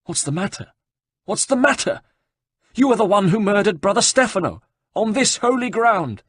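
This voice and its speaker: A man voicing a panicked elderly abbot, crying out in high, wailing tones. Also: very animated, quick pace, husky, no room sound, studio quality, exaggerated voice. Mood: accusing. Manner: wailing, high-pitched cries. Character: panicked elderly male abbot, shrill and fearful.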